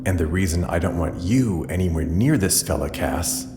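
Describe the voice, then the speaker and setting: An actor playing a gruff, proud, protective brother and battle-worn hunter in an audio drama, blunt and commanding. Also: male voice, low-pitched, expressive, moderate pace, background noise. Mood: grim, resolute. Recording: echoey hall with long reverb.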